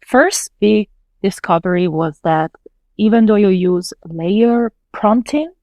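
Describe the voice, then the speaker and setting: A woman's voice: mid-range voice, expressive, takes it slowly, darkish tone, no echo. A woman whose first language is Italian, a podcast guest explaining her work step by step in a casual, thoughtful way. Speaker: podcast guest, localization manager. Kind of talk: casual interview talk.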